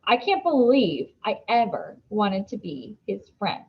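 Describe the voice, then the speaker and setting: A woman reading a children's novel aloud as an expressive storybook narrator. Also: medium pitch, a little slow, breathy edge, muffled recording.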